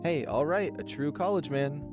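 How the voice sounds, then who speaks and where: Man doing a friendly, raised-pitch young bartender. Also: normal speed, over music, lightly acted. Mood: encouraging. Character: friendly, playful, obliging young man tending the bar.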